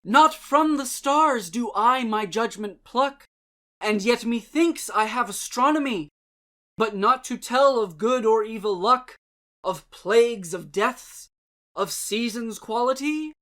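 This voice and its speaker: A woman giving a dramatic reading of poetry and prose in a measured, deliberate, theatrical way. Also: a little high, expressive, a bit bright. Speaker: channel host and reader.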